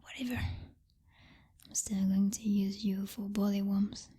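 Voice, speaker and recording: A woman playing a possessive, jealous vampire girlfriend who is commanding but secretly tender, in a soft, intimate ASMR whisper close to the microphone. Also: mid-range voice, little pitch movement, breathy edge. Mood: flustered, affectionate. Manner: slow, teasing, domineering, with lingering pauses and hums.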